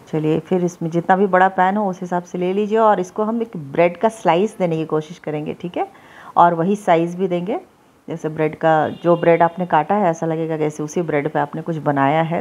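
A woman's voice: fairly low pitch, a little fast, a little mellow. A woman talking through a home recipe in a chatty, easygoing way, often checking in with "okay" as she goes. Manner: chatty, repeats "theek hai" often. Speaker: cooking channel host. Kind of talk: casual recipe demonstration. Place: home kitchen, voice-over.

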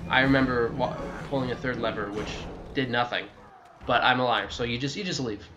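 A man's voice: mid-range voice, brisk. Rambling man doing casual game commentary over music. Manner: rambling, self-correcting, jokey asides to the game. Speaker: video game walkthrough host. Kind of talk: casual gameplay walkthrough commentary.

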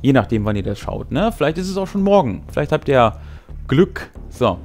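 A man in his forties hosts a tabletop role-playing show, speaking casually to the camera. His voice sits at a middle pitch and his delivery is wry and conversational. Music plays faintly behind him. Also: sing-song, a little fast, darkish tone. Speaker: host. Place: small brick-walled game room indoors. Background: background music.

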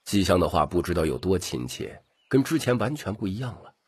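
A man with a rough voice narrating a scripted audiobook story. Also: sits low, very animated, a little fast.

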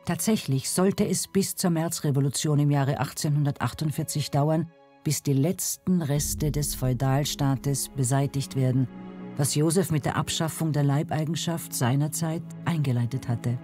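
Documentary narrator, scripted delivery, mellow timbre, medium pitch, faint music behind. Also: moderate pace. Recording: music bed under narration.

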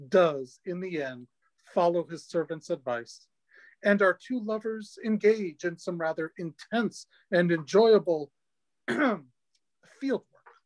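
A middle-aged man giving scripted scholarly narration in a measured, wry manner. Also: fairly high pitch, dry recording, low-quality recording. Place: indoors at home. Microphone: phone or computer on a video call.

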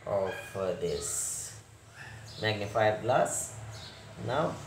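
A man giving step-by-step repair instructions in halting, fragmented English with non-native phrasing. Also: on the low side, lively intonation. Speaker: repair technician, tutorial presenter. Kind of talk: step-by-step hands-on repair tutorial. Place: indoor repair workbench. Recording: roomy echo, clear background noise.

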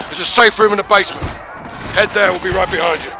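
A man playing a gruff, commanding special forces captain in a video game, speaking in terse orders. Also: sits high, steady tone, fast talker, noisy background. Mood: urgent. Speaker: military captain. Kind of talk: video game cutscene acting.

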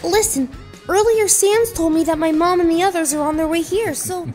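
Woman voicing a very high, pleading child. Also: moderate pace, darkish tone, soft background music. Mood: urgent pleading. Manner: insistent, pleading. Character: earnest, pleading young child. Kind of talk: fan comic dub voice acting.

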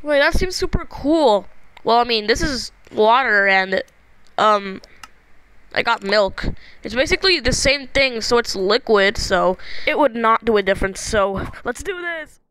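A woman improvising a cartoon fan dub as an amateur voice-over performer, with an excitable, exclamatory, sweary manner. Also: fairly high pitch, unhurried, clear, resonant tone. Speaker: amateur voice-over performer dubbing animated shorts. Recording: noisy home recording with clicks.

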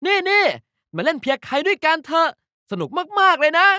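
A woman voicing a cute, energetic, pouty young girl mascot for a card game, playful and emphatic in an anime-like style. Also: high-pitched, brisk, no echo, clean studio sound. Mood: pouty, angry, then excited, boastful. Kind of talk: character voice pack lines, anime-like acting.

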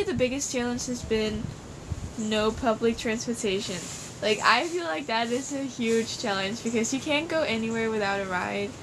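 A teenage girl from Denmark chatting casually as an exchange student, giggly and full of "like" fillers, filmed outdoors on a porch. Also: fairly high pitch. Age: teens. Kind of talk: casual chat. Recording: outdoor ambience noise.

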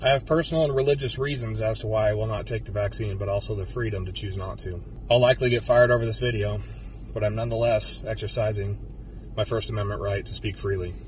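An American man in his thirties, a police officer, giving a solemn, deliberate prepared speech to camera in a car. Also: on the low side, fairly even intonation. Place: inside a car. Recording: slightly distant mic, faint car noise.

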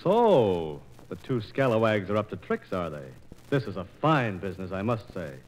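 A man's voice: on the low side, very animated, normal speed. An American man narrating an operetta for 1940s radio in a playful, theatrical storytelling manner, with scripted rhetorical questions. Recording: noisy 1940s radio transcription disc.

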